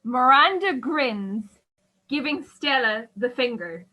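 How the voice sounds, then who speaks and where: A woman's voice: sits high, lively intonation, slow pace, background noise. A woman reading scripted stage directions as the narrator of a radio play.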